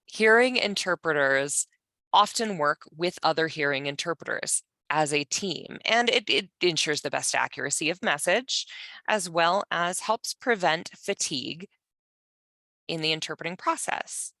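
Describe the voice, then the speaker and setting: A woman interpreting for a panelist, speaking in first person in a measured, halting way with pauses. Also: low voice, animated, bright, forceful tone, no echo. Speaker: sign language voice interpreter for a panelist.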